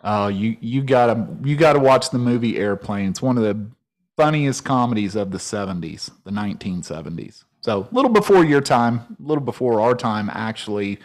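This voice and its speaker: A man's voice: fairly low pitch, animated, brisk. A man co-hosting a podcast, chatting casually with dry, playful jokes. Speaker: podcast co-host. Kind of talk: casual joking chat.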